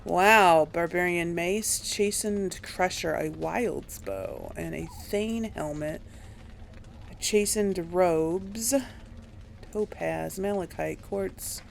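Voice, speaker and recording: A woman's voice: on the low side, drawn-out words, creaky, slight background noise. A woman doing casual video game commentary, musing aloud and questioning herself as she plays. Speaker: let's play host.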